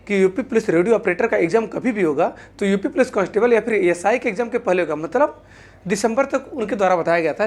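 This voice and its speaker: A woman's voice: medium pitch, darkish tone. A woman presents an informal explainer to viewers, spelling out her points and repeating them with set phrases. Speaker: exam-news video presenter.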